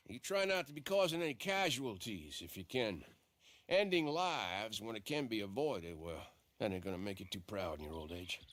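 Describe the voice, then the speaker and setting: A man's voice: mid-range voice, a bit bright, a little hiss or hum. Weary frontier man, lilting drawl. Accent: American Western drawl. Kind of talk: video game cutscene acting.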